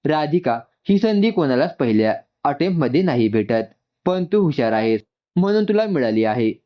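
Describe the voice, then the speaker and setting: A man's voice: on the high side, very animated, moderate pace, dull audio. A man voicing a businesslike office manager who explains company rules firmly. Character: brisk male office manager, firm and businesslike.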